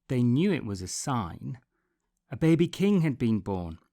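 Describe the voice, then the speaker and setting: Man, slow pace, medium pitch, expressive storybook narration, deliberate pauses, dramatic emphasis. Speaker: storybook reader.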